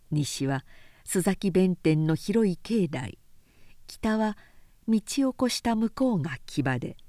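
A woman reading literary fiction aloud as a narrator, in a measured, composed and articulate way. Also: fairly low pitch, mellow, no echo, clean studio sound. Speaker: narrator, reader.

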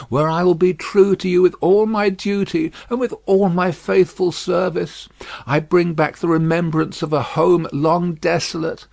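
A man's voice: a little high, gravelly, no echo, pitched above the performer's own voice. A man voicing a tender young woman who pleads with her father in earnest, imploring words. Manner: earnest, imploring, repetitive pleas.

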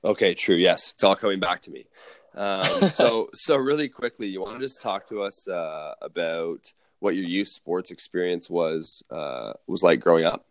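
A young man hosting a casual sports interview podcast, friendly and halting, with many fillers. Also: sits low, animated, dry recording, clean studio sound. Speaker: podcast host.

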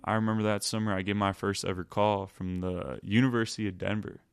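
A young man with a lowish voice that stays quite level and sounds smooth and clean. He tells his story in a laid-back way full of "like" fillers. The sound is dry and close. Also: steady tone, fast talker, no echo. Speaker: guest. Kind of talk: casual storytelling.

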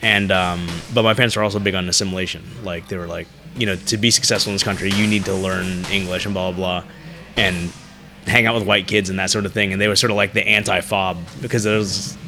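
A man's voice: low-pitched, fairly even intonation, quick pace, gravelly, sharp tone, soft background music. A man from Florida, hosting a casual podcast conversation, chatty and full of "like"; his first language is Vietnamese. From United States (Florida). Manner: chatty, heavy use of "like". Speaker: host.

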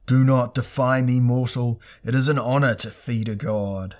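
A vast, ancient god of fire, voiced by a male storyteller, speaks in a low, loud voice. He is imperious and hungry, delivering solemn, archaic proclamations as in a dark fantasy audiobook. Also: little pitch movement, slow. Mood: commanding. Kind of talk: dramatic fantasy audiobook character voice.

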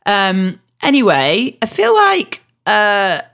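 A woman's voice: mid-range voice, wildly swooping pitch, slow pace, vocal fry, a bit bright, clear, resonant tone. A woman co-hosting a podcast, chatting frankly and mocking herself with easy humor. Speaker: podcast co-host. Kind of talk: casual chat.

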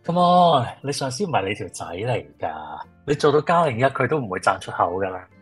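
An easygoing man giving blunt, teasing advice as an old friend in a radio drama. His voice is a little low and rough, with a Hong Kong Cantonese accent. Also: sing-song, a little fast, faint music behind.

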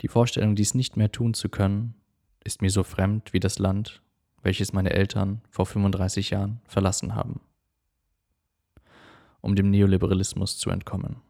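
A man from Germany whose first language is English, giving calm, measured scripted narration for a personal documentary. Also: low-pitched, gravelly.

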